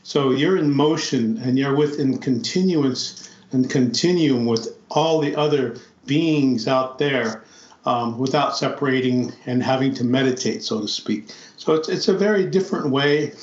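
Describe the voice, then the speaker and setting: A man from South Dakota speaking as a podcast guest, thoughtful and halting, often repeating his words as he reflects. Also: medium pitch, roomy sound, highs cut off. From South Dakota, United States. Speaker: podcast guest, flute player. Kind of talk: reflective conversation.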